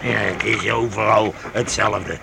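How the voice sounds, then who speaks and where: A man acting in a Dutch radio play as a rough, jovial leader of a medieval vagrant band, bossy and halting. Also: fairly low pitch, steady tone, noisy background. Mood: chatty, grumbling. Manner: hearty, bossy, halting with interjections.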